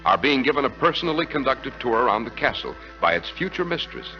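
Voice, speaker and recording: A man's voice: mid-range voice, big pitch swings, fast talker, over loud music. A man with an American accent announcing ads and narration in 1940s radio style. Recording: muffled 1940s radio recording.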